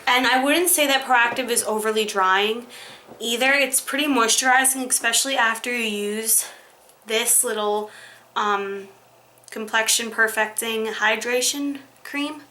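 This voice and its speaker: Bright chatty young woman vlogger. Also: fairly high pitch, a little slow. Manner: chatty, demonstrating while talking, many ums and likes. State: slightly hoarse, recovering from illness. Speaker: beauty vlogger. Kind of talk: casual skincare tutorial.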